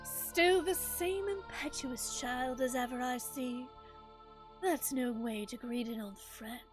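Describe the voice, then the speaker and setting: A woman voicing a smug, menacing male villain lord in a video game, hushed, formal and condescending. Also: sits high, slow pace, soft background music. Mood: mocking.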